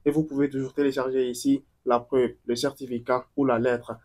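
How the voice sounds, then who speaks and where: Young guy walking you thru a web form, mid pitch, plain explaining, lots of euh and restarts. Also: little pitch movement, brisk, darkish tone. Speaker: tutorial presenter. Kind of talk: screen-recorded walkthrough of an online form.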